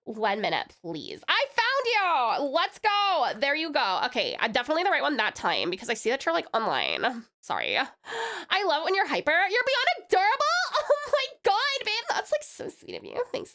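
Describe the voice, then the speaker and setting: A woman's voice: high voice, fairly bright tone. A woman hosting a chatty livestream in a put-on, exaggerated California Valley Girl accent, gushing and giggly with sugary pet names for viewers. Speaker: livestream host.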